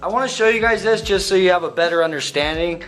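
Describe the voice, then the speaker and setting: A young man with a slightly hoarse voice, walking through a car build in a casual vlog and tossing in dude and bro. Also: high voice, fast talker, fairly bright tone, rough recording. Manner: laid-back slangy, says dude and bro. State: slightly hoarse, losing his voice.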